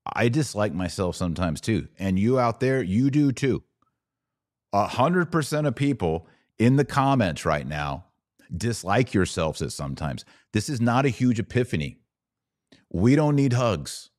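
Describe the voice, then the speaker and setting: A man's voice: lowish voice, big pitch swings, a little slow, dry recording, clean studio sound. An American man giving opinionated reaction commentary as a podcast host, emphatic and sarcastic, sometimes mimicking the people he disagrees with.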